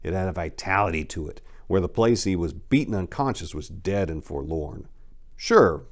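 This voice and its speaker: A man's voice: low voice, darkish tone. A man hosting and narrating scripted dramatic readings in a theatrical, emphatic way. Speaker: channel host and story narrator.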